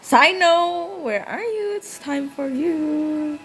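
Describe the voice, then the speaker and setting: A woman's voice: sits high, lively intonation, slow. A woman giving casual, reactive commentary as she plays a video game. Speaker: gamer, streamer. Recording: loud game music behind. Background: video game music.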